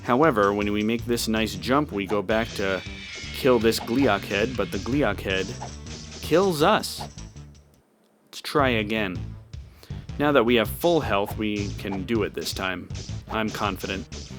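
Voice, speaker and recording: A man's voice: lowish voice, expressive, a little slow. A man giving casual walkthrough commentary on a video game. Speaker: gameplay walkthrough host. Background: game music.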